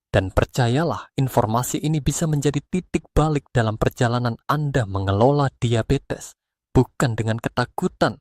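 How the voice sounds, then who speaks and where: A man's voice: mid-range voice, a little mellow, no room sound. Indonesian man narrating, very dry studio sound. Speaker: narrator of a health explainer video. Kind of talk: scripted narration.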